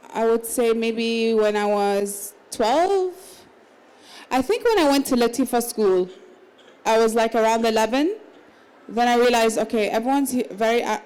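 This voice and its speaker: A woman's voice: a little high, a little mellow, clean tone, poor audio. A woman in her thirties, raised in the Emirates, talking casually as a panel guest at a literary salon. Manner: conversational, with restarts and small laughs. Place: literary salon at a book fair.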